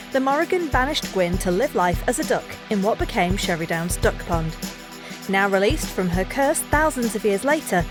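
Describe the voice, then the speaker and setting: A woman introducing herself and her character as a player, in a lively storytelling read. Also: medium pitch. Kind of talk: scripted introduction.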